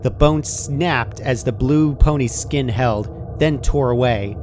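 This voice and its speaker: A man's voice: medium pitch, slow, darkish tone. A man reading a scripted story aloud as its narrator. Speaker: fan fiction narrator. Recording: clearly noisy home recording.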